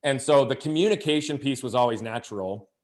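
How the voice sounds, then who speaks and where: Candid, energetic man telling his story. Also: mid-range voice, a little fast. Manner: candid, energetic, frequent restarts and "like". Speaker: podcast guest, speaker and coach. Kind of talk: conversational interview storytelling.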